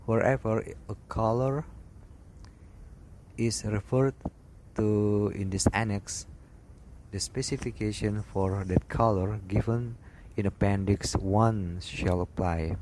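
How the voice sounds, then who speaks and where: A man's voice: on the low side, steady tone, slow pace, poor audio. A man reading out a scripted lesson as an instructor, in broken phrases with stumbles over words. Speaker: instructor reading aviation regulations. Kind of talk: read-aloud scripted lesson narration. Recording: loud background noise with clicks.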